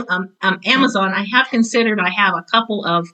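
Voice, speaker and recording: Bright, sharp-voiced woman in her sixties. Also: medium pitch, moderate pace, low-quality recording. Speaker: retired teacher and author talking on a podcast. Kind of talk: casual conversational podcast chat.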